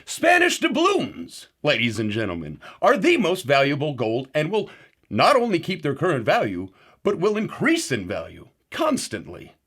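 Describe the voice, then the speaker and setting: An actor playing a shady male gold-selling pitchman with a rambling, earnest sales manner. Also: wildly swooping pitch, moderate pace, a bit bright, full character voice. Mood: salesy.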